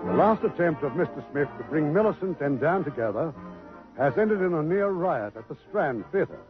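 Polished, lively man announcing. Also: on the high side, moderate pace. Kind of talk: formal radio announcing. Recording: dull old radio broadcast with faint music.

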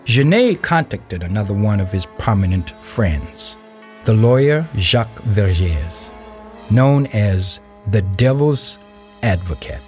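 A man reading scripted narration for an audio play in a measured storytelling way. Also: lowish voice, expressive, darkish tone. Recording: music behind the voice. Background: music.

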